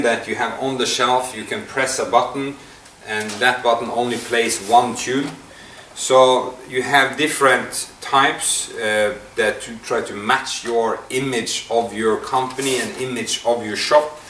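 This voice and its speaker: A man giving an unscripted product demonstration, explaining step by step in a halting, hesitant way. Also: medium pitch, fairly bright tone. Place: indoor room at a table. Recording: echoey room, distant mic, faint noise.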